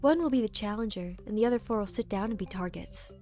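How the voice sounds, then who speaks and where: A woman's voice: mid-range voice, fast talker, mellow, soft background music, natural voice. A woman voicing a smug, flippant male game master in a painting, teasing in slangy drawl. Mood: gleefully taunting.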